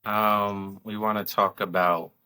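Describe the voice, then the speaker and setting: An American man co-hosting a casual travel talk. His voice sits very low but has a bright, forward edge to it. He speaks haltingly and often pauses with an um. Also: flat delivery, clear, resonant tone. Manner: halting, many ums and restarts. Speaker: co-host of a travel channel. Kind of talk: casual chat.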